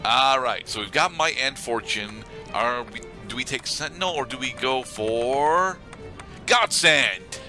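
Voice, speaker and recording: A man giving casual video game commentary, explaining things in a halting, rambling way. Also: mid-range voice, expressive, sharp tone. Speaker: let's play commentator. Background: video game music.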